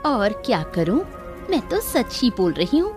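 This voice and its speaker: A woman's voice: a little high, sing-song, unhurried, music in the background, voice pushed up. A woman voicing a cruel, bossy young daughter-in-law with a scornful, scolding manner in an acted story. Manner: scolding, taunting. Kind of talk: Hindi audio story acting.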